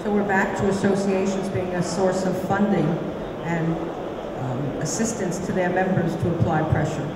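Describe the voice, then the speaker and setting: Woman moderator, lowish voice, measured questions, echoey hall, loud noise. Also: fairly even intonation. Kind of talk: panel moderation. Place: large conference hall stage.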